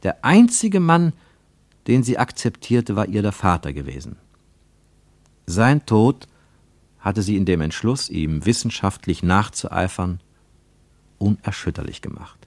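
A man reading scripted literary narration for radio, with measured, rhetorical and emphatic phrasing. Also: lowish voice, big pitch swings, a little mellow, dry recording.

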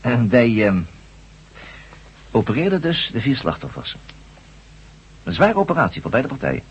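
An actor playing an authoritative professor of brain surgery in a radio drama, formal, measured and lecturing. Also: male voice, medium pitch, wildly swooping pitch, breathy edge, full character voice. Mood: grave, emphatic. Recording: dull lo-fi old radio recording.

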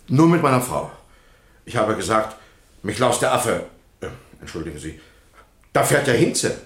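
An actor playing a flustered, defensive witness, agitated yet deferential, in a German radio courtroom drama. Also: male voice, fairly low pitch, sing-song, moderate pace, fairly bright tone. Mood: deferential, uneasy. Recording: roomy, echoing courtroom sound.

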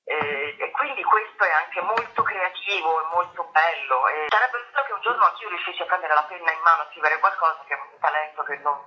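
A woman talks in a lively, chatty way and keeps breaking into laughter. She is an actress calling in to a radio show. Her voice comes through a dull, lo-fi phone line. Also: fairly low pitch, fairly quick, hardly any pauses. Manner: chatty and animated, laughs often. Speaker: stage actress. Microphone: telephone.

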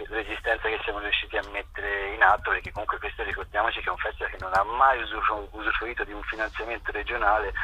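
A middle-aged man giving halting, reflective, spontaneous interview answers as a festival director, often repeating words. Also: fairly low pitch, bright, forceful tone, a little hiss or hum, rough recording.

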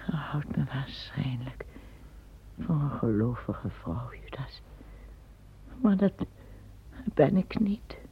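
Woman playing a weary old empress, breathy hush. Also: fairly low pitch, exaggerated voice. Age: older. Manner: hushed, breathy, often near a whisper. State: tired. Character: old weary empress, fearful and plain-spoken. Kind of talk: radio play acting. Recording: tinny lo-fi old radio recording.

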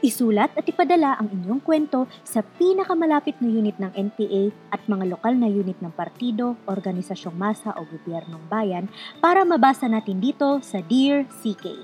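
A woman's voice: fairly high pitch, expressive, a little fast, a little mellow, soft background music. A woman hosting a scripted radio show, speaking to listeners directly in an exhortative, conversational way. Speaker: radio program host.

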